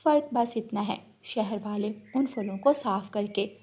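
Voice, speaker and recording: A woman voicing a gentle, helpful village bulbul in a children's cartoon, patient and softly reasoning. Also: medium pitch, measured pace, breathy edge. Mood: patient, explaining. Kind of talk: children's cartoon story acting.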